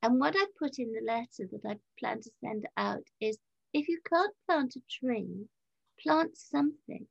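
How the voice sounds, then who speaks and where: A woman's voice: a little high, lively intonation, moderate pace, vocal fry, slightly rough, dry recording. A woman in her seventies chatting as an interview guest on a video call, telling anecdotes and quoting people as she goes. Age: 70s. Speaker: interview guest, leader of a community organisation. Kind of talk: casual anecdotal interview talk. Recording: dull, lo-fi video-call audio.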